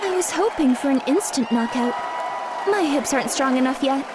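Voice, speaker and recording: A woman's voice: high voice, animated, normal speed, a loud crowd, heavy background noise. A woman playing a polite, determined young female martial artist in an audio drama. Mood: earnest, determined. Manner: polite, earnest.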